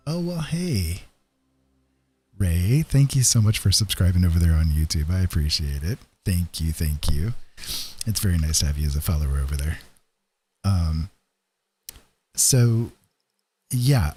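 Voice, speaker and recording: A man chatting casually with live stream viewers in an easygoing, rambling way, halting with frequent pauses. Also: extremely low pitch, a little mellow, husky. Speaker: live streamer, digital painter and storyteller.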